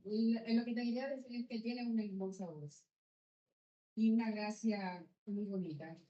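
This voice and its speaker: A woman's voice: medium pitch, normal speed, slight background noise. A woman in the audience offers earnest compliments and asks the guest a question. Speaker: audience member asking a question. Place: cultural venue hall with an audience. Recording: echoey, dull hall sound.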